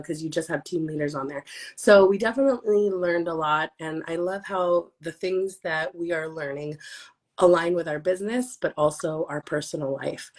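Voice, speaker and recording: A woman with a hoarse voice, hosting a casual live stream chat as part of a real estate team. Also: lowish voice.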